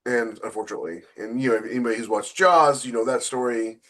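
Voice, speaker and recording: A man chatting casually as a podcast co-host and author about his own work, haltingly thinking aloud and repeating phrases as he goes. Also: mid-range voice, a bit bright.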